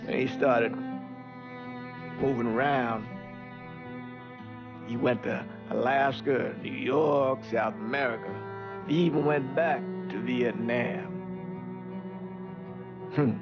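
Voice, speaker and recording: A man acting a weary, sorrowful old priest in a video game drama, speaking haltingly and gravely. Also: medium pitch, steady tone. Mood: reflective, sorrowful. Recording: dull lo-fi sound with music underneath.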